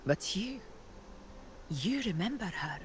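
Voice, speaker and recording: Stately warrior leader. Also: female voice, mid-range voice, big pitch swings, slow, noisy background. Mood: somber, amazed. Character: stately female warrior leader, warm toward her comrade. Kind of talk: scripted video game dialogue.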